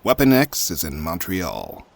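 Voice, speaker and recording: A man playing a cold, businesslike covert agent in an audio drama, with a gravelly voice. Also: low-pitched, wildly swooping pitch, measured pace, heavily acted. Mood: cold, clipped.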